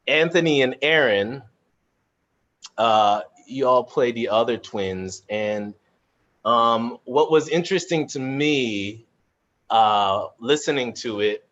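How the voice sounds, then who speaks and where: A man hosts an informal live panel chat in a mid-range voice, pausing and restarting as he goes. The sound is slightly dull, like a home video call. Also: medium pitch, fairly bright tone. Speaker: host and moderator of a cast chat. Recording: muffled video-call audio.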